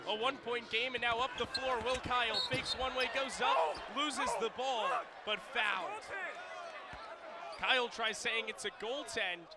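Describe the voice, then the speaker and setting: A man's voice: high-pitched, very noisy. A young man doing radio play-by-play of a live game in clipped, descriptive phrases. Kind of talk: live sports commentary.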